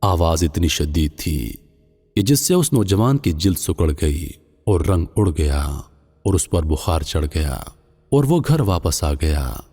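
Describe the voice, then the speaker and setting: A man narrating a scripted religious moral story in a solemn, deliberate manner. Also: low-pitched, sing-song, dark tone, breathy edge. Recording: long reverb, music underneath. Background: music.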